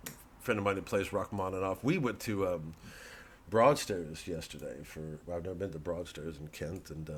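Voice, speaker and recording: A man who is a comedian, talking casually to his audience in a halting, digressive monologue with repeated words. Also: deep voice, slightly rough. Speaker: comedian, host.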